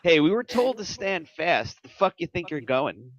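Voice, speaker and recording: A man's voice: a little high, sing-song, normal speed, highs cut off. A man acting a reluctant veteran cop near retirement, complaining and exasperated in a screenplay table read.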